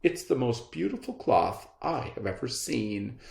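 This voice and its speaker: A man's voice: mid-range voice, big pitch swings, a little slow, creaky, a little mellow, reverberant. A man voicing an anxious, self-doubting royal servant in a storybook, fretful and theatrical. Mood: worried.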